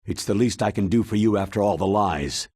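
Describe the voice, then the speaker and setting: A man's voice: lowish voice, fairly quick, darkish tone, husky, no echo, studio quality. An actor voicing a burdened male military commander who speaks earnestly and apologetically. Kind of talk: video game cutscene.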